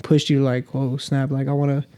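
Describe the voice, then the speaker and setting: A man's voice: medium pitch, monotone, moderate pace, warm tone, clear, resonant tone, dry recording. A man in his twenties co-hosting a podcast, chatting in an easygoing, slangy way with many fillers. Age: 20s. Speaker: podcast co-host. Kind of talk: casual chat. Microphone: studio podcast mic.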